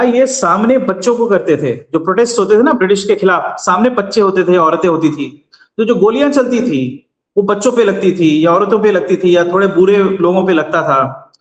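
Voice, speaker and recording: A man with a high voice lays out his argument like a lecture, measured and steady with small hesitations. His voice rings in a roomy, echoey space. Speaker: guest commentator. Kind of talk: explanatory political commentary.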